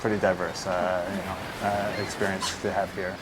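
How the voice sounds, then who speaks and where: A man from Virginia, a sailing trainee speaking in an interview in a halting way with many fillers. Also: sits low, little pitch movement, noisy background. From Virginia, USA. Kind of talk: interview testimony.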